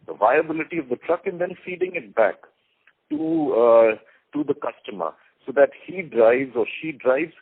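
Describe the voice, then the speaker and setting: A man's voice: mid-range voice, breathy edge. An Indian man speaking as a company executive, explaining the business to analysts and repeating phrases for emphasis. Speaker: company executive on an earnings call. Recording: band-limited conference phone line.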